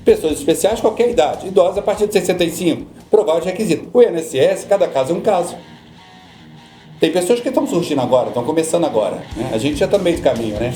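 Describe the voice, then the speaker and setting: Brazilian man, middle-aged, mellow voice, roomy sound, faint music behind. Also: fairly high pitch, quick pace. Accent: Brazilian Portuguese. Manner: patient, repeats points, calms listener. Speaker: social security law guest. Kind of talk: explaining pension rules in podcast chat.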